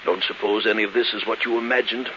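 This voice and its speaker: An actor playing an effusive, fussy elderly invalid uncle who stammers and repeats himself, in 1940s radio drama style. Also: male voice, fairly low pitch, fairly even intonation, fast talker, lightly acted. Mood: chatty, fussy. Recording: hissy, muffled 1940s radio broadcast.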